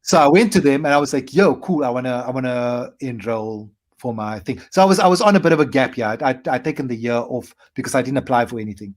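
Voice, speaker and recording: A South African man in his forties, a comedian telling his story in a casual interview and acting out his past self in quick asides. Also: mid-range voice. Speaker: comedian guest.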